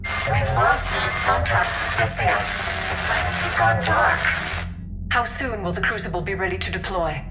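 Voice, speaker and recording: A woman playing a dignified alien stateswoman, formal, measured and shaken, in a video game. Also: a bass voice, loud music behind the voice, low-quality recording. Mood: anxious. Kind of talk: dramatic video game acting.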